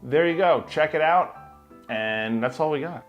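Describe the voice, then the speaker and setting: A man's voice: a little high, a little slow, lo-fi sound. A man co-hosting a film show, chatting casually and enthusiastically through a countdown list. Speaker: co-host of a movie review show. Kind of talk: casual movie countdown chat. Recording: music playing behind the talk. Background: music bed.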